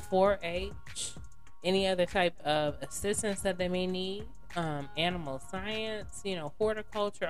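Woman, low voice, chatty podcast guest, rambling, faint music bed. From North Carolina, USA. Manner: chatty, rambling with many fillers, calls people honey. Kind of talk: casual podcast chat.

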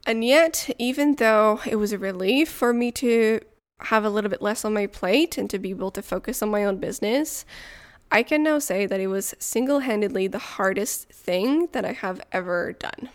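Smooth-voiced woman hosting. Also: mid-range voice, normal speed. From Montreal, Canada. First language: French. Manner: chatty and personal, light laughs. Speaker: podcast host. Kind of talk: solo podcast monologue.

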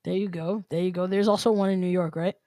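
A man's voice: high-pitched, steady tone, fast talker, warm tone, dry recording. Upbeat podcast host man, dry sound. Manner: upbeat, repeats and restates his phrases. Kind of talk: casual interview chat.

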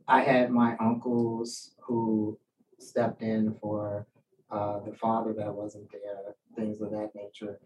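A man speaking casually and reflectively as a poet and teacher answering questions, acting out bits of remembered speech as he tells stories. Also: mid-range voice. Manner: animated storyteller, acts out quoted remarks. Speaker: poet and writing teacher giving a talk. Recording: distant mic with faint background noise.

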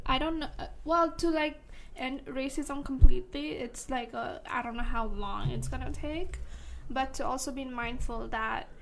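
Young woman host, high voice, says like a lot, faint background hum. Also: rough recording. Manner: filler-heavy, hesitant, lots of "like". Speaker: podcast host. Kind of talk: casual podcast conversation.

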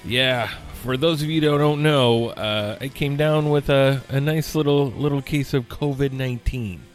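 A man's voice: medium pitch, measured pace, soft background music. Tired, slightly nasal male podcast host. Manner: chatty, rambling, with frequent fillers and restarts. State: tired, recovering from illness. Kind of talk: casual solo podcast rant. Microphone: studio mic.

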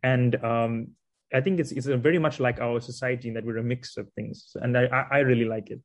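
A man hosts a friendly conversation. His voice sits at a middle pitch. He talks in loose, restarting sentences as he asks his guests questions. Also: fairly even intonation, fairly quick. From South Africa. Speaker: podcast host. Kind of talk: conversational interview.

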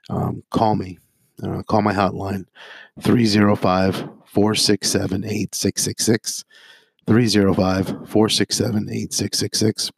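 Hoarse, gravelly low-voiced man. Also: no room sound. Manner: halting, heavy with ums and uhs, piles up listed phrases. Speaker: addiction interventionist and psychotherapist. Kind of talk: informational monologue to viewers.

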